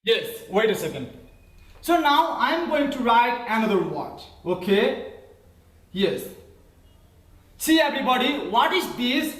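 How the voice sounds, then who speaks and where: A man with a Nepali accent teaches an English lesson over a video call, his voice high and bouncing all over the place. He spells words out letter by letter and checks in again and again. The sound is roomy, with echo around him. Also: sing-song, a little slow, pauses often, a bit bright. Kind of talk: online classroom lesson.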